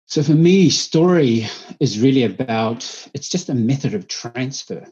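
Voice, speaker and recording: A man from New Zealand, a guest storyteller, answering interview questions thoughtfully, halting often with fillers and restarts, speaking over a video call. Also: medium pitch, animated, darkish tone, breathy edge. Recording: dull lo-fi remote audio.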